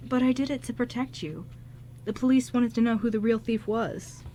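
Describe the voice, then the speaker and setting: A woman's voice: fairly high pitch, fairly even intonation, normal speed, mellow, slight background noise, close to an everyday voice. A woman playing a flustered, kind-hearted male ghost who stammers and rambles in an amateur radio drama. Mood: earnest.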